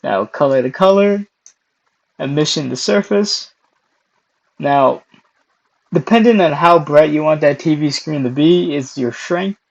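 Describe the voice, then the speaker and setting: A young man hosting a casual screen-recorded software tutorial, hoarse and losing his voice, clearing his throat often between steps. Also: on the high side, a little slow, long pauses, low-quality recording. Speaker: tutorial host.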